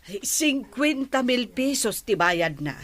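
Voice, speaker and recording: A woman's voice: on the high side, big pitch swings, slow, a bit bright, full character voice. A woman acting a chatty, haggling village pig seller in an Ilocano comedy radio drama, with an Ilocano accent. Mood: coaxing. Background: music.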